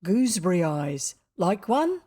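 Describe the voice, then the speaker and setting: A woman's voice: fairly low pitch, big pitch swings, a little slow, dark tone, slightly rough, exaggerated voice. A mischievous storybook schoolboy played by a woman. He is earnest and stubborn, with a boastful, self-important way of talking. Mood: generous.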